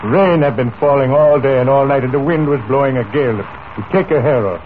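A man's voice: mid-range voice, close to an everyday voice. An actor playing a genial, folksy, wealthy oilman in an easygoing 1940s radio style. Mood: grave, intrigued. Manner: easygoing, folksy, deliberate storyteller. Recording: noisy, tinny old radio recording.

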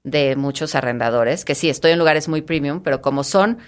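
A middle-aged woman with a Mexican accent and a fairly low voice. She talks eagerly as an interview guest, often restarting and repeating words as her thoughts run ahead. Her tone stays casual and conversational. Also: fairly quick. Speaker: guest, fitness studio founder.

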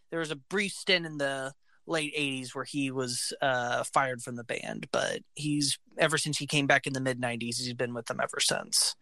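A man with a high voice, talking eagerly about a band in casual podcast chat, full of facts and "like"s. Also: bright, forceful tone, no room sound. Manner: enthusiastic, fact-filled, says "like" often. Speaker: podcast co-host.

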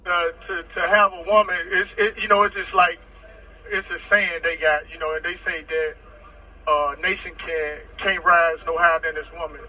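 A man's voice: fairly high pitch, fairly even intonation, moderate pace, far from the mic. A man calling in from prison, talking casually and rambling, often saying "you know what I'm saying", over a phone line. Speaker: incarcerated guest on a phone call. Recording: tinny prison phone line with faint noise.

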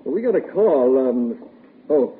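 An actor playing a polite, apologetic police officer in a 1950s radio drama, courteous and a little hesitant. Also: male voice, medium pitch, big pitch swings, a little slow. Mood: apologetic, official. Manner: courteous, hesitant, matter-of-fact. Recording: tinny, noisy 1950s radio broadcast.

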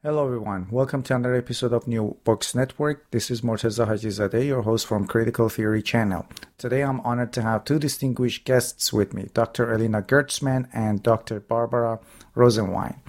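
A man's voice: mid-range voice, steady tone, brisk, a little mellow. A man hosting an academic book interview, speaking formally with some hesitant fillers. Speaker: podcast host.

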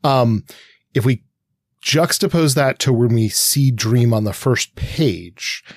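A man's voice: medium pitch, slow, no room sound, studio quality. A man co-hosting a conversational literary discussion, posing long-winded, analytical questions. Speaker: podcast co-host.

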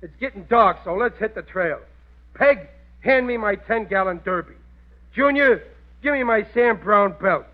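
A bumbling family man, high in pitch and blustering. He sounds earnest and exasperated, mangling his phrases, on a muffled 1940s radio sitcom. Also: animated, unhurried. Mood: mock-cowboy swagger.

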